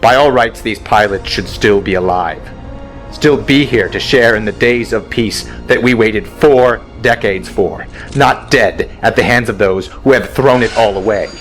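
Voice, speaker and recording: A man playing a weary fighter-wing colonel who speaks in a solemn, measured way in a fan audio drama. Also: on the low side, a bit bright, faint music behind. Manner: solemn and measured, dryly blunt in private. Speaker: colonel and squadron commander.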